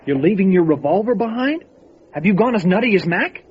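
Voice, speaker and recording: Man playing an excitable deputy, lively highish voice. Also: fairly quick. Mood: worried protest. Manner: urgent, protesting. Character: excitable, loyal male sheriff's deputy, anxious and feisty. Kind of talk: old time radio drama acting. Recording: dull lo-fi audio with light noise.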